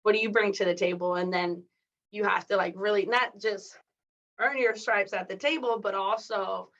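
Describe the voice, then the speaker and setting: Woman from mexico raised in california, athletic director guest, candid and chatty, mid-pitched, slightly muffled podcast sound. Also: fairly even intonation, normal speed, fairly bright tone. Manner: candid, chatty, many fillers like "like" and "you know". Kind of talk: casual interview conversation.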